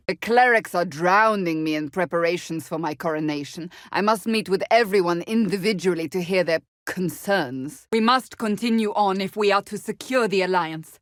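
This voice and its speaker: An actress voicing a stern, devout warrior woman in a video game, with formal, deliberate and blunt, commanding delivery. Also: mid-range voice, dry recording, clean studio sound, natural voice. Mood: stern, resolute. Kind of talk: video game voice acting, dramatic dialogue.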